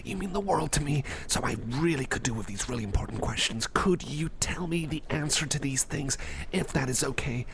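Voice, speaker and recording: A man's voice: medium pitch, lively intonation, brisk, a crowd in the background. A man playing a goofy, anxious, sleep-deprived friend in an ASMR roleplay, rambling and repeating himself in a nervous, stammering way, often slipping into whispers. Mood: affectionate, hushed. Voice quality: often drops into breathy whispers. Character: jittery, over-caffeinated, sleep-deprived, goofy and anxious male friend in a library.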